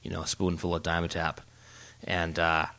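A middle-aged man co-hosts a casual podcast from home. His voice sits a little low, and he jokes around and rambles, restarting his sentences often. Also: completely flat delivery, moderate pace, sharp tone. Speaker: podcast co-host. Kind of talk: casual chat. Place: home, Germany.

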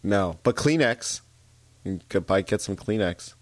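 A congested man with a very deep voice co-hosts a casual podcast. He is easygoing and answers with short agreeing replies. The sound is dry and close, with no room around it. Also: animated, a little slow, no echo. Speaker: podcast co-host. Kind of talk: casual chat. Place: home, Vancouver.

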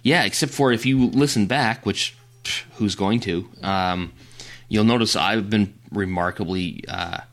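A man with a very low voice hosts a casual photography podcast. He talks loosely, teasing his co-host and stumbling through restarts and fillers. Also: unhurried, fairly bright tone. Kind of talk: casual chat.

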